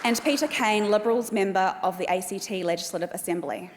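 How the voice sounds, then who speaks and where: A woman with a New Zealand accent delivers a formal welcome address in a measured, careful cadence. Her voice sits at an ordinary middle pitch. It carries with a long reverb through a large hall. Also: a crowd in the background, noisy background. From Australia. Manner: measured, reads names slowly and deliberately. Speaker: event host. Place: large hall at an evening event.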